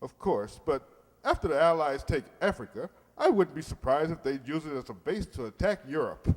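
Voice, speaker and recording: A man's voice: on the high side, big pitch swings, normal speed, long reverb tail. A man playing a pompous, bashful, flustered middle-aged suitor in old-time radio comedy style, blustery and hesitant. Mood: pompous, nervous.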